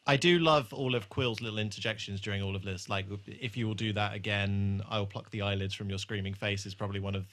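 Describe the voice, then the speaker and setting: A man with a clear-cut, bright voice co-hosts a podcast. He reviews television in loose, conversational talk, peppered with swearing. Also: fairly low pitch, steady tone, fast talker, few pauses, slight background noise. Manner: informal, sweary. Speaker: podcast host. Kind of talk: casual chat reviewing a TV episode.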